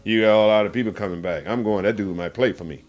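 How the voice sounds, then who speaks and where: A man's voice: fairly low pitch, fast talker, darkish tone. A man who sings and plays blues guitar, talking in an interview in an emphatic, colloquial, demonstrative way. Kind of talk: casual interview.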